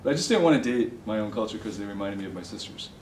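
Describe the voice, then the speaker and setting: A man's voice: on the low side, animated, darkish tone, a little hiss or hum. A male interviewer asking questions and sharing his own story in a chatty, self-mocking way. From Chinese background. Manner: chatty, quick to laugh at himself. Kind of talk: casual interview talk. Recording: echoey room from far off.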